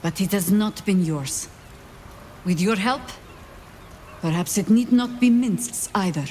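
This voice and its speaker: A woman's voice: medium pitch, animated, mellow, a little hiss or hum, close to an everyday voice. Woman as wry, world-weary older warrior woman. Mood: resolute. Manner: measured, dry, sardonic. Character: wry, world-weary old female warrior, pragmatic leader. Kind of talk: dramatic fantasy game dialogue.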